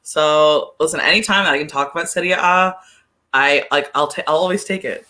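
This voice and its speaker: Podcast guest, woman, bright and crisp-edged tone, chatty casual sports fan delivery. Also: deep voice, brisk. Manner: chatty, peppered with "like".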